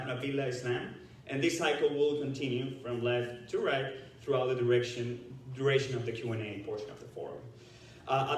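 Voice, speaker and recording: A man with a Venezuelan Spanish accent explains the rules of a forum at a middle pitch, often stopping and restarting his phrases. His voice is picked up from a distance in a large hall with heavy echo. A faint hum of ambience sits underneath. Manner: halting, with frequent restarts. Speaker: moderator. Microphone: distant room mic. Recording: echoey hall, voice far off.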